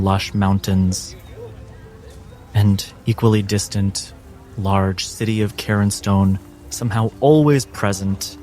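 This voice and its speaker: A man narrating a tabletop fantasy game as game master, in a measured storytelling manner. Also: fairly low pitch, warm tone. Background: music.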